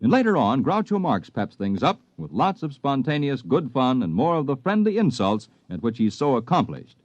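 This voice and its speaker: A man's voice: mid-range voice, big pitch swings, moderate pace, no room sound. A man working as a polished radio announcer, delivering upbeat promotion in 1950s style. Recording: dull 1950s radio recording.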